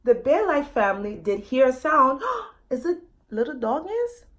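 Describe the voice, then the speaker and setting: A woman reads to children as an author at storytime. Her voice is on the high side and moves up and down freely, with dramatic delivery and direct questions to the listeners. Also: big pitch swings, measured pace. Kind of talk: expressive storytime reading addressed to children.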